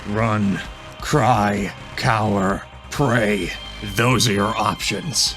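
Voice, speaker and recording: A grim fighter from a tabletop horror game, acted by a male player. He speaks slowly and menacingly, handing out cold threats. Faint music sits behind him. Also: lowish voice, fairly even intonation, a bit bright, voice pushed down.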